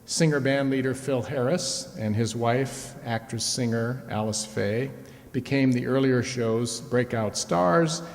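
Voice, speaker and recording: A man's voice: medium pitch, measured pace, creaky. A man giving a scripted introduction from the stage as host of a radio players' show. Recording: reverberant hall, live stage.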